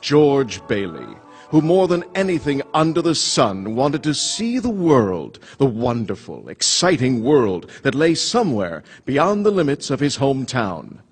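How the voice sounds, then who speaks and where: A man announcing and narrating an old-style radio drama in a formal, measured way. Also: medium pitch, breathy edge, full character voice. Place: theater stage.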